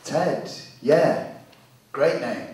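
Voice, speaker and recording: A man's voice: mid-range voice, lively intonation, drawn-out words, a little mellow. A man playing a grumpy, uneasy boyfriend in a live dramatic story performance. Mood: dry, uneasy. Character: Graham, grumpy boyfriend unnerved by a crow. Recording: distant, very echoey hall, faint noise.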